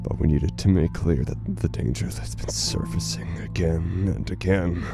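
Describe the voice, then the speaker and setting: A man playing a formal but fragile, unhinged broadcaster in an audio horror drama, speaking haltingly and theatrically. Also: sits low, fairly even intonation, gravelly, loud music behind the voice. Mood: grave, formal. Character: unhinged male broadcaster, grave, formal, fragile, devoted to his council.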